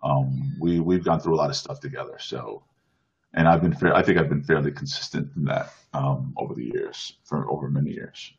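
A man's voice: a bass voice, normal speed, creaky, slightly rough. A man in casual chat on a streamed show, earnest, often restarting his sentences over a video call. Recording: dull lo-fi stream audio.

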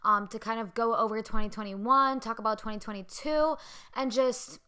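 A young woman hosts her own podcast in a chatty, rambling way, poking fun at herself as she goes. Her voice is bright, clear and a little high, with a slight hoarseness left over from a loud night out. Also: steady tone, normal speed. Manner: chatty, self-deprecating, rambling with fillers. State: hoarse from screaming the day before. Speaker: podcast host. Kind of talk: casual solo podcast chat.

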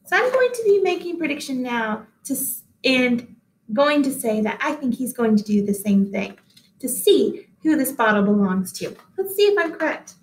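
A woman in her thirties reads a storybook to young listeners at home. Her voice sits somewhat high, with an expressive, careful storytelling delivery. The sound is clearly roomy, as in a small hard-walled office. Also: moderate pace. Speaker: read-aloud host, teacher. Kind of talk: picture book read-aloud with asides to children at home. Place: small indoor office-like room. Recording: echoey small room.

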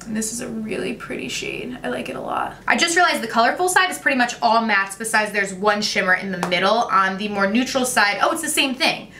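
A young woman, talking through a makeup tutorial in a chatty, gushing way, her voice a little raspy today. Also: on the high side, animated, fairly quick, hardly any pauses, pushed and bright, echoey room, recorded from a distance, slight background noise. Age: young adult. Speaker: beauty vlogger. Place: bright home room with makeup shelves. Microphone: camera at close framing.

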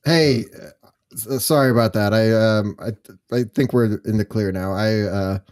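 A man's voice: on the low side, unhurried, a little mellow, close to an everyday voice. A man playing a harried suburban father of young children in an improvised role-play. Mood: weary, apologetic. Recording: music bed under the voice.

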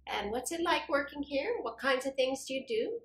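A middle-aged woman interviewing a church staff member with gentle, measured questions in a small office. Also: high-pitched, animated. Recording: echoey office room.

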